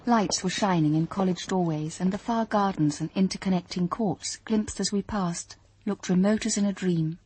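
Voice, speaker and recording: An actress playing an earnest, observant young detective, in radio drama dialogue and first-person narration. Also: female voice, medium pitch, a little mellow, breathy edge, natural voice, poor audio. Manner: earnest, thoughtful, literate narration.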